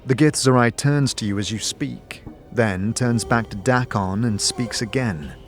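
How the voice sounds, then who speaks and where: A man's voice: mid-range voice, unhurried. A man reading scripted second-person narration for a fantasy audio drama. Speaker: game-style narrator. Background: music.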